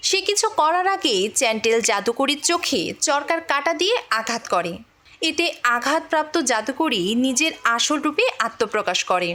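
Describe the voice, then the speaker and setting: A woman tells a movie story in a scripted narrator's style. Her voice sits very high. Her intonation is lively, rising and falling widely as she explains the plot. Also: normal speed.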